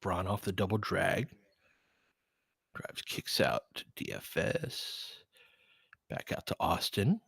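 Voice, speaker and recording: A man's voice: low voice, big pitch swings, takes it slowly, gravelly, pauses often, a bit bright, slightly rough, no room sound, studio quality. A man giving live basketball play-by-play and casual analysis as a candid, colloquial fan commentator who reacts openly and swears.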